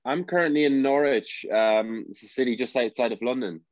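Young Irish actor, casual and talkative, heard over a lo-fi video call. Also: male voice, mid-range voice, fairly quick, no room sound. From Ireland. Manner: chatty, restarts sentences, laughs often. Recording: dry, dull lo-fi call audio.

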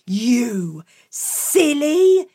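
A shrill, exasperated mother who squeals and soars all over the place, as a woman storyteller puts her on. She scolds a foolish boy with big, theatrical swoops, high-pitched and animated in a told children's tale. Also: very slow, breathy edge, full character voice.

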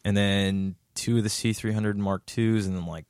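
A young man with a fairly low voice talks through a casual podcast chat, rambling and restarting, circling back on himself with lots of "like". He sits close to a podcast mic, and the sound is very dry with no room echo. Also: fairly even intonation, moderate pace, darkish tone, clear, resonant tone. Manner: rambling, many restarts and "like" fillers. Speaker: podcast guest.

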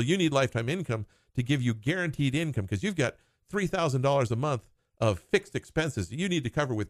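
A man's voice: medium pitch, no echo, clean studio sound. A man who talks as a financial advisor, explaining retirement planning in short clauses and laughing now and then. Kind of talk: conversational explanation.

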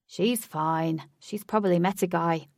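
A woman plays a cocky, muscular young man in a storybook, used to getting his way. His delivery is low and insistent, snapping with entitlement, with a creaky edge in a dry, close sound. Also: lively intonation, moderate pace, fairly bright tone, no echo, far from the mic. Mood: pushy, insistent. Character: arrogant muscular young male athlete, angry, entitled, pushy.

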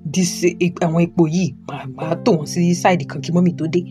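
A woman's voice: on the low side, fairly even intonation, quick pace, lightly acted. Woman acting a stern, supportive old Nigerian father, guitar behind. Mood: firm, resigned. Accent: Nigerian Yoruba. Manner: emphatic, repetitive, admonishing, paternal. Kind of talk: audio drama acting. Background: guitar music.

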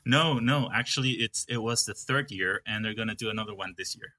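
Bright-voiced man from Peru, halting. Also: on the low side. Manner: hesitant, with many restarts. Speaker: coffee farm manager. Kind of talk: casual interview.

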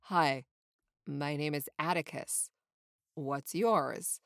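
A woman voicing a calm, friendly young male sandpiper in a children's story, with a laid-back, deadpan manner. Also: sits low, slow pace, creaky, no echo, clean studio sound, pitched below the performer's own voice, exaggerated voice.

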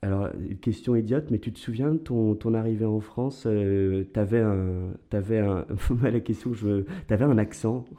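A man's voice: fairly low pitch, moderate pace, hardly any pauses, dark tone. A man hosting an interview podcast, talking casually with frequent hesitations. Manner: hesitant, many fillers. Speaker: podcast host. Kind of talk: conversational interview.

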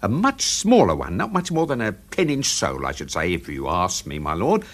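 An actor playing a meticulous, unflappable manservant in a radio drama, speaking formally and precisely with an English accent. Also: male voice, low voice, wildly swooping pitch, a little fast, exaggerated voice. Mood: deferential, quietly proud. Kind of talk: full-cast radio drama acting.

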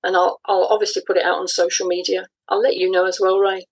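A woman's voice: lowish voice, flat delivery, fast, breathy edge, low-quality recording. A woman speaking informally as a guest writer in an interview.

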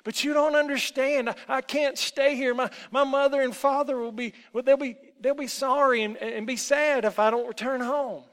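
Storybook lead: scared little boy, voiced by a man, high, pleading, stammering, in long reverb. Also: fairly even intonation, fairly quick, vocal fry, few pauses. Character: frightened, pleading young boy, timid and stammering.

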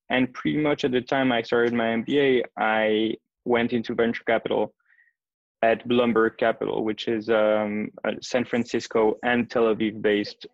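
A man from Switzerland, in his thirties, speaks with a gravelly edge and little rise or fall in his voice. He works through his answers point by point, with plenty of fillers. The sound is dull and lo-fi, and close and dry. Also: fairly low pitch, no echo. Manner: methodical, lists points in order, frequent fillers. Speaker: venture capital investor. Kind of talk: interview answers.

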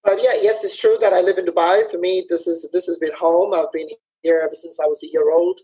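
A man's voice: high-pitched, steady tone, a little fast, dark tone, slightly rough, rough recording. A man from Somalia being interviewed over a video call, talking in an easygoing way with many fillers and light laughs. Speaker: interview guest, festival organizer. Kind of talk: casual conversational interview.

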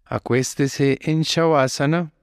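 A man gives calm, measured guided meditation instructions as a yoga teacher. His delivery is very slow, with long pauses between phrases. The voice is smooth and clear, recorded close and dry. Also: fairly high pitch, no echo.

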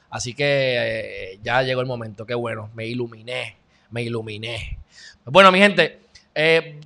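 Man, Puerto Rican accent, slightly high voice, chatty, joking asides, casual news talk. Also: fairly bright tone. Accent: Puerto Rican Spanish. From Puerto Rico. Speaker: video host.

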